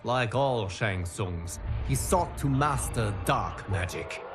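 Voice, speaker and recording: A man voicing a solemn, wise guardian god, narrating a fighting game story in grave, formal, measured diction. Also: fairly low pitch, expressive, music in the background. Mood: grave, urgent.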